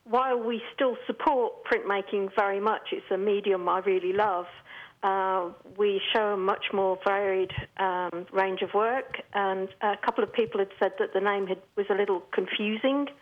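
Woman gallery owner, measured and hesitant, muffled call-in sound. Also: medium pitch, steady tone. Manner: measured, with frequent ums and uhs. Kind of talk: interview answers.

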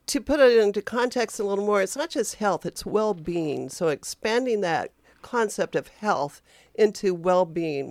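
Gravelly-voiced woman in her fifties. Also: on the low side, no echo. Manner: chatty, self-deprecating, digressive. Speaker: guest, health promotion specialist. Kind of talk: radio talk show interview.

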